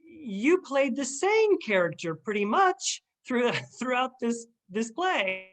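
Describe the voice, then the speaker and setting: A woman's voice: a little high, very animated, takes it slowly. A woman hosting a casual chat, talking as an interviewer with a guest. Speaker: host, interviewer.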